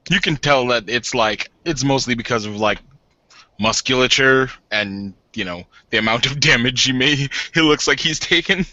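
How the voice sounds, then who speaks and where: A man's voice: mid-range voice, normal speed, sharp tone, no echo, lo-fi sound. A man casually roleplaying as a player in a tabletop game session.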